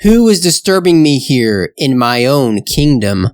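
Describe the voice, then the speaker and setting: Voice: mid-range voice, very animated, unhurried, no room sound. A narrator voicing an old male sea dragon king, stern but hospitable, in a storybook tale. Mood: stately.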